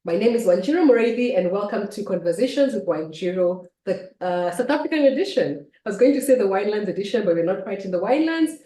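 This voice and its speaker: A woman's voice: medium pitch, expressive, fast, few pauses, mellow, recorded from a distance. A woman hosting a casual interview, chatty and teasing, who laughs often. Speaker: host. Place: home kitchen. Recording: roomy, from off-mic.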